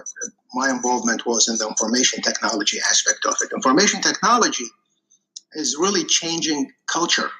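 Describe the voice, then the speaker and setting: A man in his forties, born and raised in Iraq, answering interview questions in a casual, halting way with many fillers and restarts. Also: mid-range voice, pushed and bright, low-quality recording. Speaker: podcast guest.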